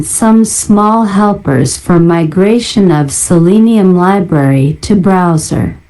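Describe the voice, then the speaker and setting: A woman narrator doing a deliberate ASMR-style read-out of listed items in a flat manner. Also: fairly low pitch, low-quality recording. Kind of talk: ASMR reading of a list of software libraries.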